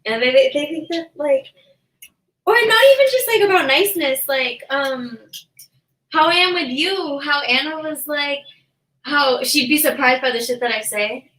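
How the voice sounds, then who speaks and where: A woman's voice: high voice, lively intonation, moderate pace, a bit bright. A woman co-hosting a podcast, chatting casually in a rambling, foul-mouthed way. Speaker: podcast co-host. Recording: echoey room, distant from mic.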